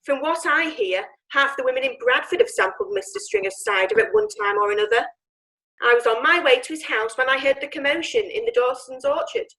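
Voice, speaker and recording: An actress playing a gossipy witness recounting a night's events. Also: female voice, high-pitched, a little fast, sharp tone, low-quality recording. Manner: gossipy storytelling. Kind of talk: amateur audio drama acting.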